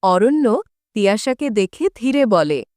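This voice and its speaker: A woman's voice: medium pitch, sing-song, measured pace, over loud music. A woman narrating a scripted story as its storyteller.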